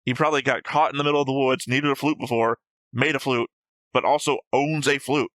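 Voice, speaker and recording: A man's voice: medium pitch, steady tone, brisk, a bit bright, no room sound. A man co-hosting a reaction show, chatting casually in a blunt, argumentative, sweary way. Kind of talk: casual discussion of an anime episode.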